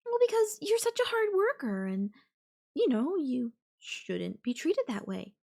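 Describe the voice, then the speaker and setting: A woman playing a possessive, doting young yandere girlfriend in an intimate audio roleplay, speaking coyly and affectionately with lingering pauses. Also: sits high, sing-song, vocal fry, a little mellow, heavily acted. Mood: indignant, sympathetic.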